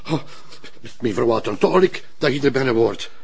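An actor playing a nosy, excitable neighbour in a drama, rambling in broad dialect. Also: male voice, medium pitch, moderate pace. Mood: chatty, flustered. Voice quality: raspy, gravelly. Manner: rambling, colloquial.

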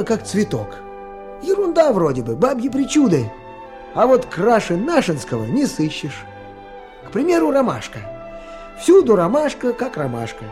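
An actor playing a folksy, proud Siberian timber-rafter in a radio play, musing in colloquial speech like a fatherly teacher. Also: high-pitched.

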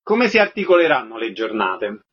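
Husky male radio host, muffled sound. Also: medium pitch, sing-song, moderate pace. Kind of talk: scripted radio interview questions and announcements. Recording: dull lo-fi radio recording.

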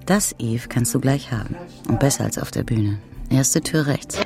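A woman's voice: extremely low pitch, normal speed, gravelly, music in the background. An actress playing a brusque, flirtatious middle-aged woman with a curt, offhand manner in German radio drama.

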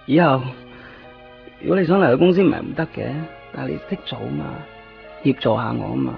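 A man's voice: mid-range voice, animated, brisk, gravelly. A man playing a fussy, penny-pinching office manager in a radio drama, nagging with repeated questions. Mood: coaxing. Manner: nagging, repetitive rhetorical questions. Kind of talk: Cantonese radio drama acting. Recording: muffled lo-fi radio recording, faint music.

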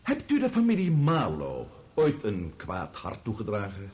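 A man playing a formal, probing barrister in a radio courtroom drama, with a deliberate, theatrical delivery. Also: fairly low pitch, huge pitch swings, darkish tone, husky, exaggerated voice. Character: formal, probing male barrister examining a witness. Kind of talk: radio play courtroom acting.

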